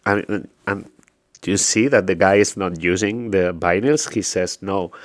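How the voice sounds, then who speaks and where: Man, very low voice, relaxed chatty radio host, hesitant, dry studio sound. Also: unhurried, no room sound. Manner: relaxed, hesitant, many fillers and restarts. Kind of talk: casual radio chat.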